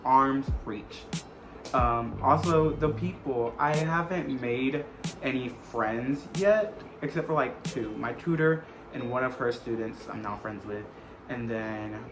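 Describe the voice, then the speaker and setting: A man's voice: medium pitch, unhurried, low-quality recording. A man in his twenties with an American accent, chatting casually and rambling a little as he answers questions as a vlogger, often repeating phrases for emphasis. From United States. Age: 20s. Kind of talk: casual Q&A chat to camera. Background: background music.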